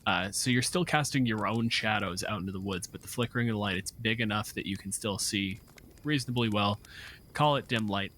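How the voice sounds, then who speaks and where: A man's voice: on the low side, fairly quick, sharp tone, slight background noise. A man running a tabletop game as game master, narrating and explaining rulings in a casual, profane way.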